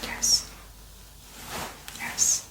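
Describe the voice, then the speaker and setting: A woman playing the patient in an ASMR exam roleplay, giving soft, brief answers. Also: drawn-out words. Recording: roomy, distant mic, faint noise.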